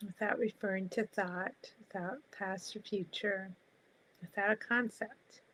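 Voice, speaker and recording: A woman guiding a meditation in a calm, soft, spacious manner, leaving long pauses between short phrases. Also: medium pitch, poor audio. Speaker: meditation guide.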